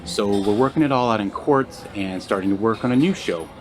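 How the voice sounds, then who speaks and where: A man's voice: fairly low pitch, brisk, soft background music, lightly acted. A man playing a suspicious, sarcastic insomniac musician in a naturalistic audio drama, blustering and then hesitant. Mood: evasive, casual.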